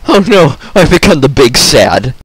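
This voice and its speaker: A man's voice: on the high side, very animated, measured pace, heavy background noise. A man performing comedic game voice lines in exaggerated character voices, theatrical and prone to outbursts.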